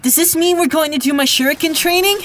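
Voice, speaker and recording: A performer playing an eager, loyal little boy ninja in an audio drama, excitable and insistent. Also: high voice, fast talker, a little hiss or hum. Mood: excited. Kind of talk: fan audio drama acting.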